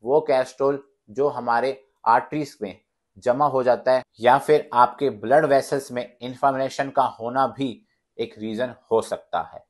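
A man's voice: medium pitch, steady tone, a little slow. A man, explaining things to viewers in an earnest, instructive way as a fitness vlogger. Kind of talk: explainer to camera.

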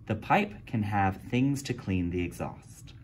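A man reading a children's picture book aloud indoors, with lively storytelling. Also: on the low side, unhurried, slight background noise. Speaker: county environmental staff reading to kids. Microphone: camera filming book over shoulder.

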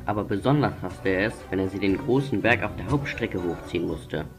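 A man's voice: low-pitched, expressive, fairly quick, gravelly, over music. A man narrating a scripted children's story.

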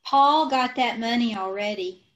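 A woman acting a scatterbrained, innocent-sounding wife in an old-time radio comedy, deadpan and unhurried, over a video call. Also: a little high, highs cut off, close to an everyday voice. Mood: innocently evasive. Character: scatterbrained, artfully innocent wife, mangles sayings. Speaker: amateur radio troupe actor.

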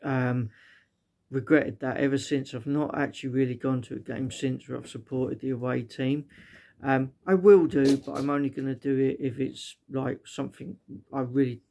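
A man's voice: medium pitch, fairly even intonation, normal speed, vocal fry, mellow. A middle-aged British man giving a casual, unscripted vlog review in a chatty, rambling way. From Britain. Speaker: vlogger reviewing stadiums as a fan. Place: small living room indoors.

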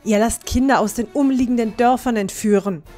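A woman's voice: on the high side, a little fast, warm tone, faint music behind, natural voice. A woman playing a fierce young female dwarf adventurer in a fantasy fan audio drama, commanding and defiant. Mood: fierce defiance.

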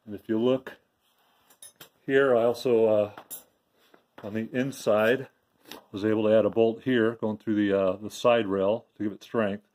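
Low-voiced man explaining a garage project. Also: steady tone, darkish tone. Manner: plain, unhurried, with many restarts and "uh"s. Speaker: DIY video maker. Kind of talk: casual show-and-tell walkthrough. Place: home garage. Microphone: handheld phone camera, speaker off screen.

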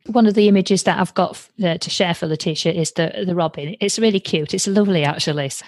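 A woman's voice: on the low side, quick pace, a little mellow, dry recording. A woman with a Yorkshire accent hosting a light-hearted podcast interview in a chatty, exclamatory way. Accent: Yorkshire English. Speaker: podcast host.

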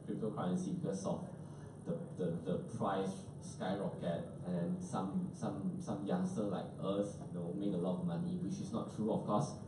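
A man in his thirties speaking informally as a startup panelist, in a colloquial way peppered with "you know". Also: sits low. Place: large event room with dark wall, indoors. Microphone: handheld mic into PA, picked up by far camera. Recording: very echoey room, distant mic, loud noise.

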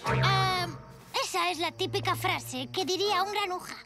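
A woman's voice: very high voice, measured pace, gravelly, full character voice. A woman with a Castilian Spanish accent voicing a small, earnest boy lizard superhero in a cartoon dub, with a childlike manner. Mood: wary. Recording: loud children's music underneath.

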